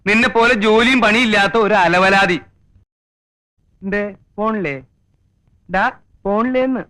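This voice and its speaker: A man's voice: sits high, unhurried, sharp tone. An actor playing an exasperated, long-suffering man in a comedy film, complaining and exclaiming. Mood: scornful. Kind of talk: Malayalam comedy film acting.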